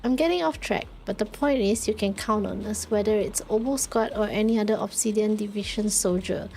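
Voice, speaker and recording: A woman's voice: medium pitch, a little fast, a little mellow, a little hiss or hum. A woman livestreaming a story game, reading the text aloud and reacting to it. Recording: game music behind the voice. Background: game music.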